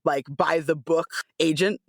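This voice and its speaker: A woman in casual, animated chat, playful and theatrical, happy to swear as she tells a story. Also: low-pitched, a little slow, no echo, studio quality. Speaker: tabletop role-play performer.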